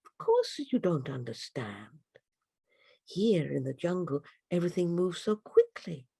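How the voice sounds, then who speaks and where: A storyteller voicing a gentle, wise butterfly guide in a soft, calm, reassuring way. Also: mid-range voice, a little slow, gravelly, breathy edge, heavily acted.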